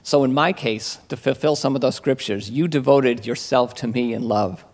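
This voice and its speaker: A man's voice: medium pitch, moderate pace, darkish tone. A man giving a grateful, heartfelt testimony to a church congregation, speaking with some hesitant fillers, in a reverberant sanctuary.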